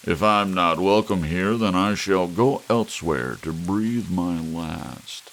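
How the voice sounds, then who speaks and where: Man playing a boozy big newspaperman in his forties, theatrical, mocking, gravelly, storybook drama, rainy hiss. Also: sits low, slow, pitched above the performer's own voice, exaggerated voice. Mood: drunken, sardonic. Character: big drunken sardonic city editor in his forties. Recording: steady hiss, rain sound underneath.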